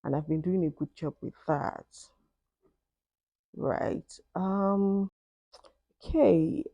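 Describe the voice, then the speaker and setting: Nigerian woman in her twenties or thirties, vlog Q&A, low mellow tone, dry close sound. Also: very slow, vocal fry, dry recording, lo-fi sound. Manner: thoughtful, conversational, with "I mean" asides. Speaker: vlogger. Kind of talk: Q&A vlog, reading questions and answering.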